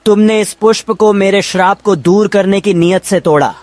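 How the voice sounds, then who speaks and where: A man voicing a grateful talking donkey, a cursed king, in an animated moral story. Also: sits high, normal speed, no room sound, natural voice. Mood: grateful, regretful. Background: music.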